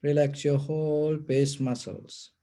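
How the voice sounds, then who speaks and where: Very mellow, soft-toned man guiding meditation. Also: a little high, flat delivery. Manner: gentle, measured, short instructions with pauses. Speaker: Buddhist meditation teacher. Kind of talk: guided meditation instruction.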